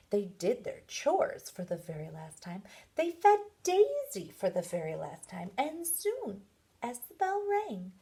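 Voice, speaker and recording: A woman with a fairly high, very lively voice reads a picture book to young children. She speaks like a teacher, expressively and deliberately, taking an unhurried pace. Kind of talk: read-aloud storytelling.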